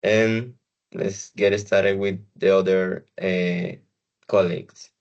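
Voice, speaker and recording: A man with a Colombian Spanish accent hosting a student podcast in hesitant, halting phrases. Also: deep voice, flat delivery, gravelly, darkish tone, lo-fi sound. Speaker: podcast host and language student.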